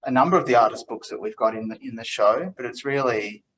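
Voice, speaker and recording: A man in the role of gallery director hosts a relaxed artist talk. He sounds easygoing and encouraging, and his speech is full of fillers. His voice sits at a mid pitch, and a faint background noise runs underneath it. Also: quick pace, poor audio. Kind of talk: relaxed interview conversation.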